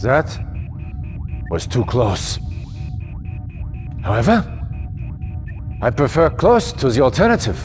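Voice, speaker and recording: An actor playing a grave, decisive middle-aged army general in a video game briefing, measured and authoritative. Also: male voice, mid-range voice, wildly swooping pitch, faint music behind. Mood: relieved, resolute. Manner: measured, authoritative, deliberate phrasing. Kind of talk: video game cutscene acting, military briefing.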